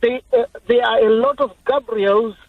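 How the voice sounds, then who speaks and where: Husky high-pitched man on phone. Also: moderate pace, dry recording. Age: 40s. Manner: earnest, insistent. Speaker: caller. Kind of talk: radio phone-in call. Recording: dull phone line.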